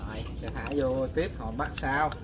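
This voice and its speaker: A young man with a Southern Vietnamese accent, chatting excitedly to viewers as he fishes from a riverside spot at night and repeats words when a fish bites. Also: medium pitch, moderate pace, crowd noise. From Mekong Delta, Vietnam. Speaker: fishing vlogger. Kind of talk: casual fishing commentary to viewers.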